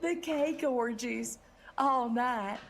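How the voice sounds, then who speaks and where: A woman's voice: fairly high pitch, extremely slow, full character voice. A woman playing a flirty, ditzy, put-upon bakery bookkeeper in a comedic audio drama, coy in manner.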